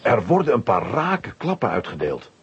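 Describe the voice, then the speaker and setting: A man with a husky voice narrating a children's audio story from a script. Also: fairly low pitch, wildly swooping pitch, moderate pace, dull audio.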